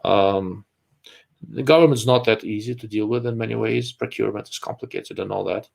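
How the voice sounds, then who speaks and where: A male host talks in a loose, rambling way, stopping often on ums and uhs. His voice sits low and carries a gravelly rasp. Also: fairly quick. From Russia. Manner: rambling, frequent fillers and restarts. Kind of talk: live-stream casual chat.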